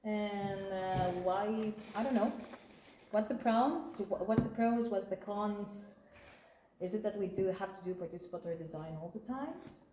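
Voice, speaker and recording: Greek woman moderating a live panel, halting and giggly, roomy sound. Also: medium pitch, mellow. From Greece. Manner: hesitant, laughing, many fillers. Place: conference room. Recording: echoey room, faint noise.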